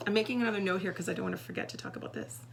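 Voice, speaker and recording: Gravelly, husky woman. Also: medium pitch, huge pitch swings, very fast. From Canada. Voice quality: husky, scratchy. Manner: chatty and rambling, with many fillers. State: hoarse. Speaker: podcast co-host. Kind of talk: casual chat.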